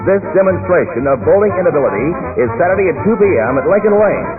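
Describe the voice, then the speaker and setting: A man's voice: fairly high pitch, lively intonation, fast, over music. Tongue-in-cheek radio promo man. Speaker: promo announcer. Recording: tinny lo-fi 1960s radio aircheck.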